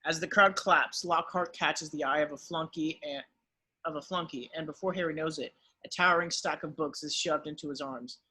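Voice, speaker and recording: A man with a husky voice reading stage directions as the narrator of a script table read. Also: fairly high pitch, steady tone, normal speed, bright, forceful tone, low-quality recording.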